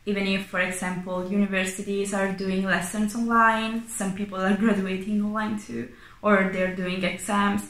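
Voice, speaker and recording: A woman's voice: mid-range voice, flat delivery, moderate pace, fairly bright tone. A woman, explaining her situation to camera in a plain room. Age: 20s. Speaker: resident sharing experience. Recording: echoey room.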